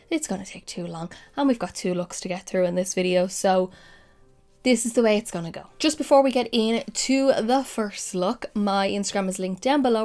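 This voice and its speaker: A young woman talks her way through a makeup look in a relaxed, chatty way. Her voice carries a slight nasal, sniffly edge from a cold she is still getting over, and she slips into soft whispers now and then. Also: medium pitch. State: congested, recovering from a cold. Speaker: makeup vlogger. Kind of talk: casual makeup tutorial chat.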